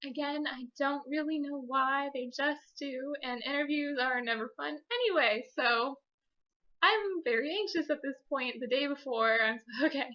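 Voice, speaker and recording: A woman's voice: high-pitched, normal speed, sharp tone, muffled recording. A young adult woman telling a personal story casually as a vlogger, chatty, giggly and self-deprecating.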